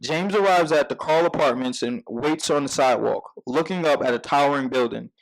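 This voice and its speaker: A man's voice: mid-range voice, a little fast, creaky, rough recording. A man reading out scene headings and action as narrator at a scripted table read.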